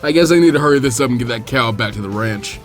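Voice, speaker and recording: A man doing a relaxed, low adult ninja. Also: fast talker, soft background music, lightly acted. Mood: amused. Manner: relaxed, chuckling. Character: amused, easygoing adult male ninja.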